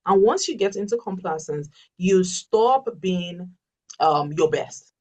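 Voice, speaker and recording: A woman's voice: fairly low pitch, expressive, takes it slowly, distant mic. A Yoruba-speaking woman, a life coach answering webinar questions earnestly and emphatically, often repeating her key phrases. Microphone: video call.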